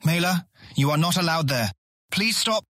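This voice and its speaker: A man's voice: fairly high pitch, lively intonation, moderate pace, fairly bright tone, no echo, studio quality. An actor playing a stern, suspicious guild guardsman in an audiobook drama, curt and commanding, short on patience. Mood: exasperated, commanding.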